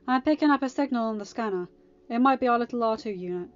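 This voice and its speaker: A woman voicing an eager, impulsive teenage farm boy in an earnest, excitable manner for a story reading. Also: fairly high pitch, fast, lightly acted. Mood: alert, curious. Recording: dull lo-fi recording with music behind.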